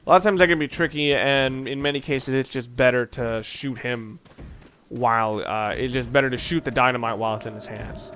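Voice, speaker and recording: A man hosting a let's play, commentating casually on a video game in a rambling, halting way with dry joking asides. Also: medium pitch, a bit bright, a little hiss or hum. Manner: rambling, halting self-corrections. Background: video game music.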